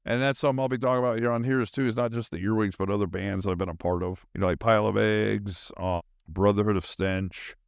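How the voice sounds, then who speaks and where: A man's voice: on the low side, fairly even intonation, quick pace, vocal fry, few pauses, dry recording, studio quality. A middle-aged man hosting a solo podcast, tired and zoned out, rambling casually in slangy, meandering talk with trailing thoughts.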